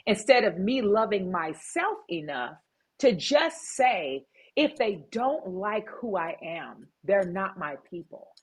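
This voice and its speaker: Woman, middle-aged, husky, lively pitch, emphatic storyteller, interview guest. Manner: emphatic, animated storytelling with laughs. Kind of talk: candid interview conversation.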